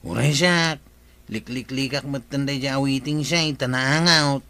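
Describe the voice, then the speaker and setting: An actor with an Ilocano Filipino accent playing an easygoing, inquisitive villager in a casual, questioning way for a radio comedy drama. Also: male voice, mid-range voice, a little slow, vocal fry, sharp tone, clear, resonant tone. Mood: complaining, curious.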